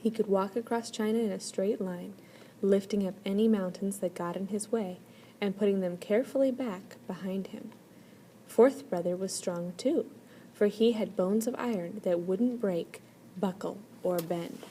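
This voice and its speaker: A woman reading a children's story aloud as an expressive storyteller. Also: mid-range voice, moderate pace. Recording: clear background noise.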